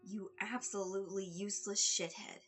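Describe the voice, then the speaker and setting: A woman's voice: medium pitch, steady tone, normal speed, a bit bright, soft background music, close to an everyday voice. A woman voicing a resigned, disapproving young man in a story, dry and blunt.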